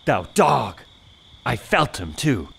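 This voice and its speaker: A man playing a jealous, hot-headed young gentleman in a period audio farce, haughty and declamatory. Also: mid-range voice, very animated, takes it slowly, gravelly, slight background noise, exaggerated voice. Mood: jealous rage.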